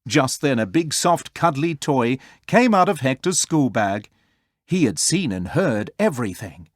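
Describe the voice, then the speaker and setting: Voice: medium pitch, very animated, measured pace, no echo, clean studio sound. A storyteller reading a children's story aloud as scripted narration.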